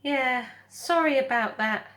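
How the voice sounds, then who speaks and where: Storybook otter, played by a woman: big, sociable female, well-meaning and breezy, voice lifted high. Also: takes it slowly, a bit bright. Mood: chatty. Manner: breezy, informal.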